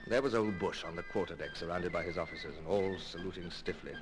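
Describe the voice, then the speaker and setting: An actor playing a proud, stiff naval commodore who narrates in an old-time radio drama, clipped, with hesitant throat-clearing. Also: male voice, low voice, fast talker, lightly acted. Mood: proud, amused. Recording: old radio recording, music behind.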